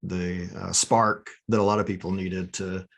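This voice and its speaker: A man in his thirties, seated at a studio microphone in his home office, hosts an interview for his photography channel. His voice sits on the low side, and he talks in a relaxed, friendly manner. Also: a little slow, vocal fry. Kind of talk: interview host, casual chat. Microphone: studio mic in front of the speaker.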